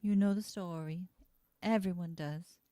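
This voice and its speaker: A woman's voice: lowish voice, measured pace, dark tone, clear, resonant tone, no echo, close to an everyday voice. Woman acting an earnest frontier schoolteacher, dry close sound. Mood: somber, explanatory. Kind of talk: amateur radio play acting of a TV script.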